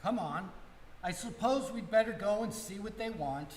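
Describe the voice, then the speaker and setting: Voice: mid-range voice, animated, normal speed, a little mellow, close to an everyday voice. A performer playing a weary, old-fashioned elderly male planet designer, rambling and resigned, in a live radio play. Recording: echoey hall live stream, noisy.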